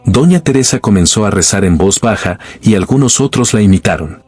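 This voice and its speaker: A man reading a scripted first-person horror story in a slow, grave, suspenseful way. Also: lowish voice, animated, a little mellow, husky, faint music behind. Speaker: narrator.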